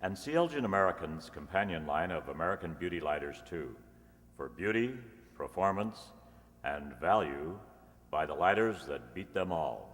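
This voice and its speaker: A man acting as a polished announcer and sponsor pitchman in an old-time radio show. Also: expressive, moderate pace, fairly bright tone. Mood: salesmanlike. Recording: echoey hall, long reverb.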